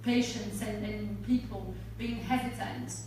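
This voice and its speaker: A woman's voice: mid-range voice, fairly even intonation, a little slow, a little mellow. Hesitant Brazilian-born woman in an echoey hall. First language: Portuguese. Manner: hesitant, many fillers and restarts. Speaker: panel speaker. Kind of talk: conference panel talk. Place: large conference hall. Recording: echoey hall, distant mic, noisy.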